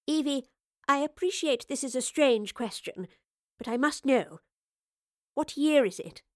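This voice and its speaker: A woman's voice: high-pitched, lively intonation, a little slow, no echo, pitched above the performer's own voice. Storybook role: female narrator as formal, inquisitive lady scientist, precise and courteous, dry sound. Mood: earnest, probing. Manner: courteous, old-fashioned, precise. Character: polite, formal, inquisitive lady professor, a time-travelling scientist.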